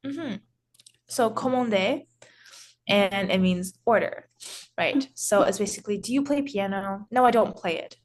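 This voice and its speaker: A woman teaching French grammar in English, explaining slowly with many pauses, restarts and filler words. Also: mid-range voice. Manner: hesitant, frequent restarts and "so". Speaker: French tutor.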